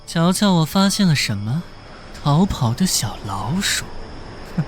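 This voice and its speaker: Haughty, swooping sinister hunter. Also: male voice, a little high, big pitch swings, a little slow. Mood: gloating, menacing. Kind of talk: dubbed animated fantasy drama. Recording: loud music under the voice.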